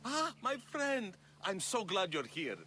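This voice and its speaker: An actor playing a wily, sentimental aging mercenary with a coaxing, theatrical way of talking, in a video game cutscene. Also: male voice, sits high, very animated, measured pace. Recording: music behind the dialogue.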